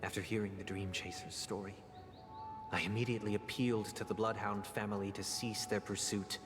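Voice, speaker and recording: A man playing a grave, polite, melancholy family head in a video game, speaking in measured, formal monologue with rhetorical pauses. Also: on the low side, little pitch movement, lightly acted. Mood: grave, sorrowful. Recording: long reverb, loud music behind. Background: loud game music.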